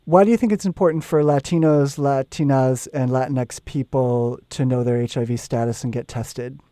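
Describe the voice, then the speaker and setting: A man reading prepared interview questions in a plain, measured way. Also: mid-range voice, fairly even intonation, mellow, dry recording. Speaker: interviewer, outreach specialist.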